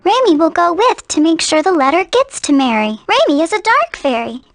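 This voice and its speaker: Tiny, very high-pitched fairy. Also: female voice, big pitch swings, moderate pace, no room sound, clean studio sound, exaggerated voice. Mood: perky. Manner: speaks of herself in third person, cutesy. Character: small female dark fairy, perky and forthright. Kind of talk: video game voice acting.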